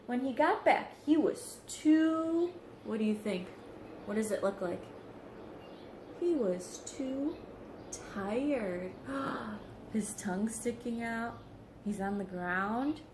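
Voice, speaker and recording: A woman reading a children's story aloud as a teacher, expressive, putting questions to young listeners. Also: a little high, a little hiss or hum. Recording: roomy echo on the voice.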